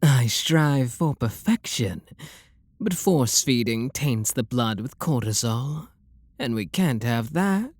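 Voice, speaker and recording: Man acting as aristocratic vampire lord, British accent, unhurried, expressive intonation, soft genteel flirtation, possessive, ASMR roleplay. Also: medium pitch, no echo. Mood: patient, negotiating. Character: refined, wealthy male vampire lord, possessive and courteous.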